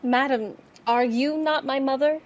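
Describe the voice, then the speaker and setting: A woman's voice: high voice, fairly even intonation, measured pace, dull audio, slight background noise. A woman playing a dutiful, tender-hearted young daughter of an exiled duchess in Shakespearean audio drama, speaking earnestly and with exclamation. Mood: puzzled.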